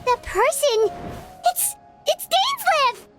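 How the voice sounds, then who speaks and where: A woman voicing a small, childlike, excitable fairy companion in a video game, with a chirpy, cheeky and exclamatory manner. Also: very high-pitched, takes it slowly, over loud music, exaggerated voice. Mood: thoughtful, alarmed. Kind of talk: video game dialogue acting.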